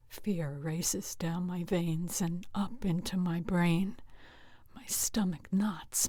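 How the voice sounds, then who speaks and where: A woman's voice: on the low side, no room sound. Woman acting a frightened, bitter patient, dry close sound. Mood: fearful, anguished. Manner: emotive, hushed at fearful moments. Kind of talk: dramatic first-person short story reading.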